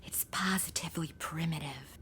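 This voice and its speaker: A woman's voice: low-pitched, normal speed, fairly bright tone, breathy edge, heavily acted. A young woman playing a sassy, impatient modern twenty-something in a comedic audio drama, with a sarcastic, snarky delivery. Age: young adult. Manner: sarcastic, snarky, exaggerated reactions.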